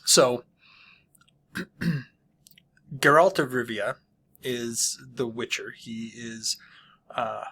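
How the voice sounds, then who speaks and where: Host of a small book channel: unhurried, hesitant man who fills gaps with ums and keeps clearing his throat. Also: mid-range voice, sharp tone. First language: not Polish. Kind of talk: casual spoken book review.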